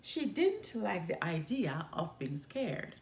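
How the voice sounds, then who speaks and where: A woman reads a children's storybook aloud in a highly animated, expressive way. Her delivery is slow and deliberate, with some creak in the voice. The room adds a noticeable echo. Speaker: storytime host reading aloud. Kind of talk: children's picture book read-aloud.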